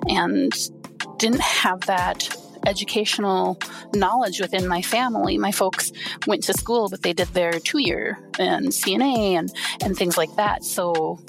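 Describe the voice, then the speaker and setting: Woman from Wisconsin, interview guest, candid, reflective, gravelly voice, music underneath. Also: mid-range voice, unhurried. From Wisconsin, USA. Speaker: podcast guest, educator. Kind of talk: conversational interview.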